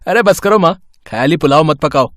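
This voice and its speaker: Man playing a cheeky, higher-voiced young son. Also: expressive, brisk, fairly bright tone, no room sound, studio quality. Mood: playful, protesting. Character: cheeky, good-humoured young adult son, college student.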